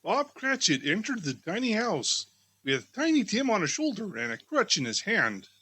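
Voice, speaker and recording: A man narrates a storybook from a script. His voice sits high and moves expressively, with a husky edge. The sound is dry. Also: wildly swooping pitch, moderate pace, pushed and bright.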